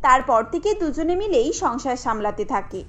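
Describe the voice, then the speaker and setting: A woman's voice: high-pitched, lively intonation, normal speed, fairly bright tone, soft background music. A woman narrating a cartoon family tale in a scripted storytelling style.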